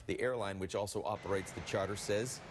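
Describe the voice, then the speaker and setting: A man's voice: on the low side, steady tone, a little fast. American male morning news anchor, noisy audio. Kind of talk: news reading with casual co-anchor banter.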